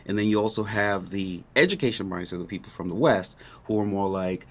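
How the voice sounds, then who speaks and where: A middle-aged man from Nigeria, a native Yoruba speaker, explaining history calmly in an interview and often checking in with "right?". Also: on the low side, a little fast. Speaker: guest, rapper. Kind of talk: relaxed explanatory interview talk.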